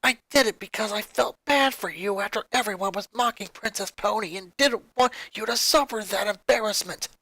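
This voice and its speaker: A man performs a young boy who is a resentful, wounded big brother in a fan story. He speaks coldly and accusingly, leaning hard on his words, with the pitch pushed up and a dry sound. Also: normal speed, few pauses, bright, forceful tone, no echo, full character voice, rough recording. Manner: cold, accusing, emphatic.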